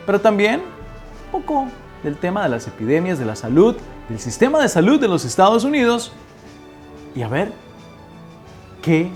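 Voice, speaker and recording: A man hosting a podcast interview, asking questions in an enthusiastic, emphatic way. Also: high voice. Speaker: podcast host.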